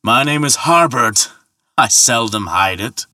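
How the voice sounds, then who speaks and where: A man's voice: mid-range voice, animated, a little slow, pushed and bright, no echo, studio quality, distant mic. A man voicing a sly, taunting ferryman in a mythological tale, with a scathing, mocking drawl. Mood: defiant. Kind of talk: dramatic mythological storytelling.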